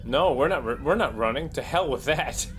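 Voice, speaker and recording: A man's voice: fairly high pitch, expressive, normal speed, a bit bright, soft background music. A man playing a tabletop roleplaying game, chatting casually in a colloquial, decisive way. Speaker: player captaining the ship. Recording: clearly noisy background.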